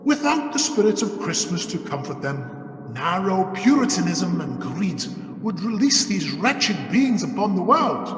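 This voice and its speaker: A man's voice: high voice, big pitch swings, measured pace, a bit bright, heavily acted. A man playing a solemn, aging Christmas spirit in a radio play, speaking in a grave, declamatory way. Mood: grave warning. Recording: echoey long reverb.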